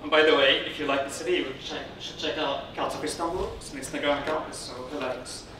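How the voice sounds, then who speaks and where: A man from Syria giving an informal technical conference talk as a speaker, often halting and rephrasing as he goes. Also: a little high, steady tone. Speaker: conference speaker, software consultant. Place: indoor darkened conference room, on stage beside a lectern. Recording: roomy, distant, noisy room sound.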